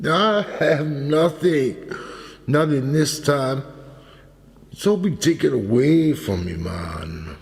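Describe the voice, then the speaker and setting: A man's voice: mid-range voice, lively intonation, takes it slowly, in a large hall. An actor playing a bitter, rambling down-and-out ex-serviceman in a radio play. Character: bitter, rambling down-and-out ex-serviceman, crooning old songs.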